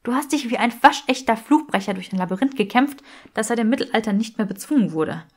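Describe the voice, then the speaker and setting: A woman's voice: on the high side, lively intonation, fast talker. A woman voicing an earnest, teasing young witch in a fantasy audiobook. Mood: earnest, admiring.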